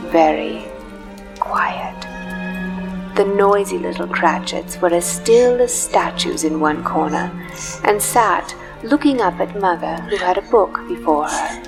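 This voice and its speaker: A woman narrating a dramatic story live in a reverberant church, with grave, deliberate phrasing. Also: lowish voice, creaky. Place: live performance in a large church. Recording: reverberant church hall, music behind. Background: music.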